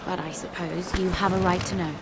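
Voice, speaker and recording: An actress playing an anxious, gossipy young handmaiden in a game, speaking in a plaintive, confiding way. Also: female voice, on the low side, little pitch movement, a little fast, heavy background noise, natural voice. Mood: confiding, earnest. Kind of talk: dramatic game cutscene acting.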